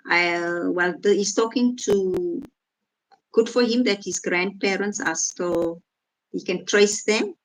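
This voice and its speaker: A woman's voice: medium pitch, slow pace, low-quality recording. A woman from Africa, casually reading out comments and answering them with sarcasm. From South Africa. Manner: sarcastic, reads out and rebuts comments. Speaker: vlogger reacting to comments.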